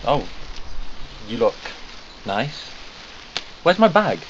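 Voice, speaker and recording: A man's voice: mid-range voice, big pitch swings, very slow, slight background noise. A man voicing a brusque, impatient time-traveling alien. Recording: dull lo-fi recording.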